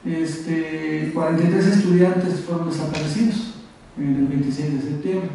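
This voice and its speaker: A man with a Mexico City accent giving an informal conference presentation as an activist, halting, often repeating words and trailing off. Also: high-pitched, dark tone. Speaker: activist speaker on a conference panel. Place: university auditorium. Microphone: distant from the speaker. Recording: echoey room, distant mic, faint noise.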